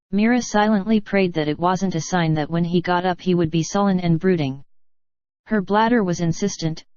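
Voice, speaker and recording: A female text-to-speech voice, a little low, narrates fiction in measured, stilted phrasing. The audio is very dry and slightly lacking in crispness. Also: no echo, highs cut off. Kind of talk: scripted novel narration.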